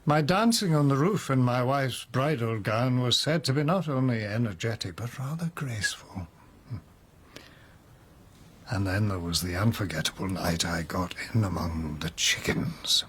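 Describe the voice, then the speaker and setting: A man's voice: mid-range voice, lively intonation, normal speed, fairly bright tone, slight background noise, close to an everyday voice. An actor in a radio drama playing a drunken nobleman under suspicion, sly and teasing in a languid, ornate, jesting way. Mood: wry reminiscing. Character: drunken nobleman murder suspect, sly, teasing, mock-humble.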